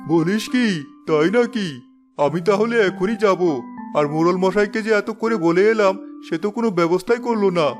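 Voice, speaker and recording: Guy doing a worried blunt villager in a cartoon, high voice, soft music behind. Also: moderate pace, natural voice. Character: worried, plain-spoken male villager, fed up. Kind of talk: cartoon voice acting.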